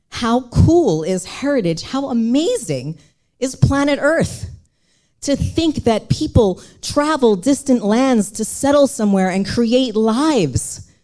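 Woman speaker, mellow lower voice, animated and earnest, personal stage talk. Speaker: speaker at a live storytelling event.

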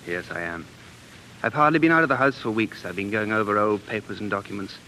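A man's voice: lowish voice, a little fast, sharp tone, a little hiss or hum, poor audio. A man playing an earnest, dreamy American living in London, speaking intensely and musingly in 1940s radio drama style. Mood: wistful, fascinated. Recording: 1940s radio broadcast, faint music.

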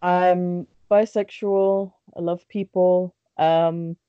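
A woman's voice: lowish voice, little pitch movement, very slow, no echo. Candid young woman, dry lo-fi sound. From born in Bangladesh, raised in London. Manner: candid, chatty, many restarts and fillers. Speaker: guest. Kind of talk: casual podcast interview.

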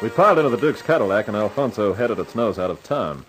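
An actor playing a wry, wisecracking hard-boiled investigator in a radio drama, with an American accent and husky voice. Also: male voice, fairly low pitch, animated, quick pace, over music, close to an everyday voice, rough recording. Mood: wry, tense. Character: wry, wisecracking hard-boiled detective narrating his case. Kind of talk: 1950s radio noir narration and dialogue.

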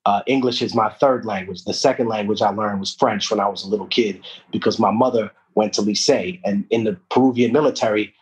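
Husky-voiced man from Peru, storytelling. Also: lowish voice, fairly quick, low-quality recording. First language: Spanish. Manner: reflective storytelling, street slang, animated asides. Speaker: guest. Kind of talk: casual podcast conversation.

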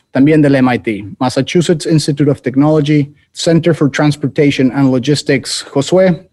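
Mellow-voiced Mexican man hosting. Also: fairly high pitch. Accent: Mexican Spanish. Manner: friendly, formal introductions with fillers like "este". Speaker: podcast host. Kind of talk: conversational interview.

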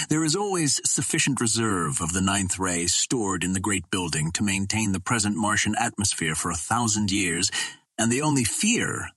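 Guy reading an adventure audiobook, measured and formal, husky voice, very dry sound. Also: lowish voice, a bit bright, no echo. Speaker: audiobook reader. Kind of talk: scripted narration of a classic adventure novel.

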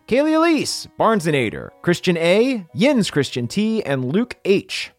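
A man reading out comedic podcast shout-outs and scripted joking asides in a theatrical, mock-earnest way. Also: on the high side. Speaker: podcast host reading supporter thanks. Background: music under the voice.

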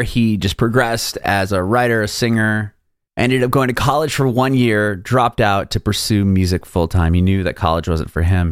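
A man's voice: low-pitched, clean tone, no room sound, studio quality. A young man hosting a podcast. He reads a scripted intro and then chats casually with a guest, using laid-back slang. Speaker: podcast host. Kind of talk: read intro, then casual interview chat.

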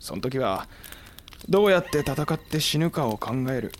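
Terse samurai commander, widely swinging delivery. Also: male voice, on the high side, big pitch swings, moderate pace, slight background noise. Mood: subdued, resolute. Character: cool, shrewd samurai commander, gruff but caring. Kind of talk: Japanese period audio drama acting.

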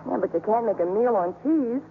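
A woman's voice: mid-range voice, lively intonation, brisk, lightly acted. An actress playing a chatty, kindly newspaperwoman and flustered home cook in a 1950s radio drama, with a fluttery, hesitant manner. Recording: muffled, hissy 1950s radio broadcast.

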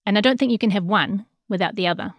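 A woman's voice: medium pitch, fast, no room sound, clean studio sound, faint music behind. A woman with a New Zealand accent reads a written news feature as a journalist, in scripted narration.

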